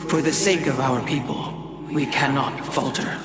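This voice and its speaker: An actor playing a noble, resolute alien warrior leader in a video game, speaking with formal, commanding solemnity. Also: male voice, medium pitch, a little slow, over loud music, close to an everyday voice. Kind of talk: video game cutscene acting.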